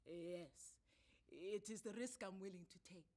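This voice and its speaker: A woman voicing a defensive, stubborn husband with a halting, insistent manner in a story reading. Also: mid-range voice, a little mellow, lightly acted. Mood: evasive. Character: defensive husband and father, stubborn, forcedly calm. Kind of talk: dramatised short story reading.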